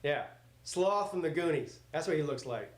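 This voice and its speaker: A man's voice: fairly high pitch, lively intonation, normal speed. A man in his forties hosting a live sports watch party, mixing casual chat with play-by-play in a blunt, joking, rambling way. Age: 40s.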